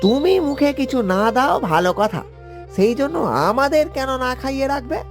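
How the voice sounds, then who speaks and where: An exasperated village husband from a cartoon played by a man, swooping in pitch as he questions and placates. Music plays behind him. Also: animated, moderate pace. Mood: concerned. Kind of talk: animated cartoon voice acting.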